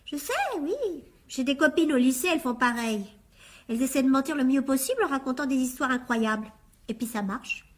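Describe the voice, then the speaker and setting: A woman's voice: high voice, a little fast. An actress playing a frightened, secretive fourteen-year-old French schoolgirl in a radio drama, hesitant and pleading. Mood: confessing. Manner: hesitant, repeating words, pleading.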